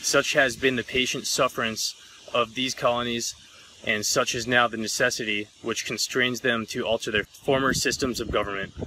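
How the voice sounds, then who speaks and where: Even-toned man over noisy background. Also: mid-range voice, moderate pace. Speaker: volunteer reader. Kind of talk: formal document reading.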